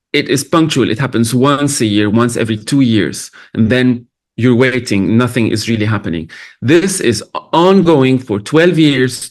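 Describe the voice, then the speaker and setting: Brief: film director from Lebanon at a festival Q&A, a man of middle pitch, reflective and halting. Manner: thoughtful, halting, many restarts. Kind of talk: festival Q&A answers.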